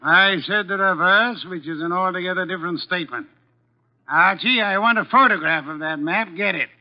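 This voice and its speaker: An actor playing an imperious, pedantic armchair detective in a 1950s radio drama, speaking with precise, commanding formality. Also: male voice, high voice, normal speed, natural voice. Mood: pedantic, brisk. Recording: dull vintage 1950s radio recording.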